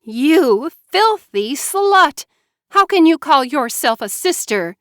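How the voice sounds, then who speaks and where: Furious jealous sister, shrill accusing yells, high and loud, read by a woman. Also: very animated, slow, dry recording, clean studio sound, heavily acted. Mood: venomous.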